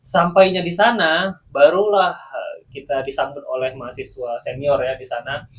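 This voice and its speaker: A man with an Indonesian accent, a guest on a casual podcast, telling about his time as a student in Sudan with lively, laughing storytelling. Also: medium pitch, moderate pace. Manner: animated storytelling, laughs while recounting.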